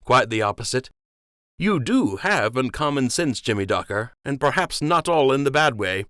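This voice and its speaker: Polite young rabbit captain, calm and measured, read by a male narrator, close dry sound. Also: mid-range voice, no echo, pitched above the performer's own voice. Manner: courteous, measured.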